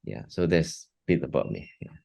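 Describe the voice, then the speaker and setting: Low-pitched man, middle-aged, halting answers with many restarts. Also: expressive, vocal fry, darkish tone. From Singapore. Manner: halting, frequent restarts and repeated words. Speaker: guest, art technologist and educator. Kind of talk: interview answers.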